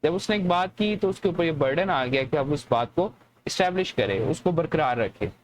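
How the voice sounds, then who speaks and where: A man's voice: a little high, animated, moderate pace, a little hiss or hum. A man with a Pakistani accent giving an explanatory law lecture, repeating and rephrasing his points in a didactic way. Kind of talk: explanatory lecture, reading clauses then explaining.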